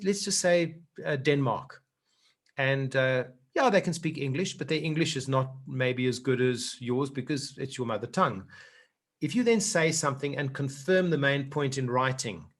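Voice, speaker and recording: A middle-aged man with a South African accent giving earnest public speaking advice on a video call, often repeating words as he starts a point. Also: medium pitch. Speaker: speaking coach. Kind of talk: online workshop talk.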